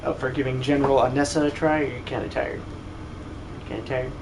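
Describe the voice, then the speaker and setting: A man's voice: mid-range voice, little pitch movement, fairly quick, noisy background. A man who streams games, chatting casually and informally. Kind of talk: casual chat.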